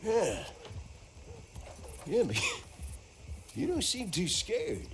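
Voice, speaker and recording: Simple friendly american townsfolk man, high swoopy voice, slow pace, game cutscene. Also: huge pitch swings, slight background noise, exaggerated voice. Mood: amused warning. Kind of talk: dramatic video game cutscene acting.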